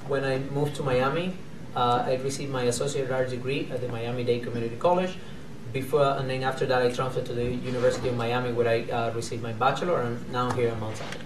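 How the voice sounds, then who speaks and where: A man from Cuba introduces himself slowly, stopping often on hesitant fillers. The mic is far off in an echoey room. Noise runs behind him. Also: mid-range voice, level tone. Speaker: MD-PhD student. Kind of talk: panel self-introduction. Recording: roomy, distant mic with noise.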